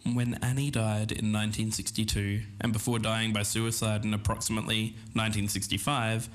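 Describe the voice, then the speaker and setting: A man's voice: lowish voice, completely flat delivery, fairly quick. A man co-hosting a podcast, moving between scripted narration and casual chat. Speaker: podcast co-host. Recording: clear background noise, recorded on location.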